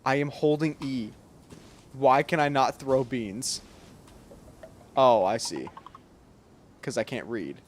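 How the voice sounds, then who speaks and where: A man's voice: mid-range voice, slow, pauses often, a little hiss or hum. A young man giving casual, reactive, joking commentary as he plays through a video game. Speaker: gaming video host. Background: game music.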